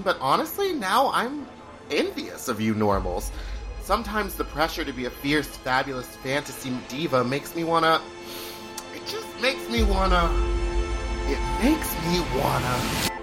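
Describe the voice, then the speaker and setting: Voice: medium pitch, very animated, moderate pace, music in the background, natural voice. An actor playing a bitchy, demanding drag queen star in a comedic audio drama, haughty and theatrical. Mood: wistful, torn.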